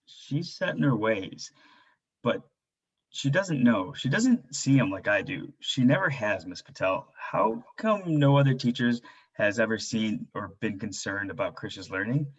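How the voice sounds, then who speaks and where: A man's voice: fairly low pitch, expressive, measured pace, breathy edge, muffled recording. A man playing a worried, frustrated father in a staged drama reading, with a pleading, earnest manner. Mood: resigned, desperate. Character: worried, frustrated stay-at-home father and husband.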